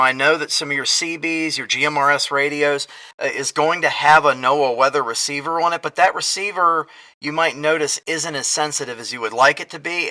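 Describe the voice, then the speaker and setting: Guy doing an informal gear show-and-tell, bright punchy voice, explains stuff with real emphasis. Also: medium pitch. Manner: emphatic, explanatory, talks straight to viewers. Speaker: storm chaser presenting radio gear. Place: garage partly sunk into the ground.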